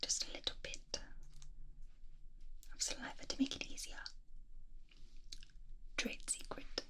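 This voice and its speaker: A woman playing a doting, obsessive mad scientist who fusses over her creation in a cooing, breathy whisper, as an ASMR roleplay. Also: natural voice. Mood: fussy, affectionate. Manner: cooing, unhurried, fussy endearments.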